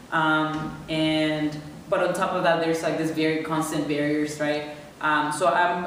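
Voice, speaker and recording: A young woman from Venezuela, an interviewee reflecting thoughtfully on her identity and often pausing with fillers. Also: low-pitched, a little hiss or hum. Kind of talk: personal reflection. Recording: echoey room from a distance.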